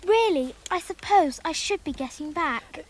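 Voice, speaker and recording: A woman's voice: high voice, expressive, moderate pace, slight background noise. A female performer playing a curious, frank young English girl in a radio drama, eager and polite with a British accent. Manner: eager, polite, plain-spoken.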